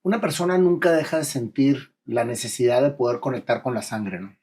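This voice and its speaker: A man's voice: medium pitch, fairly quick, darkish tone, husky. A male host interviewing a couple, engaged and prompting them with questions. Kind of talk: conversational interview.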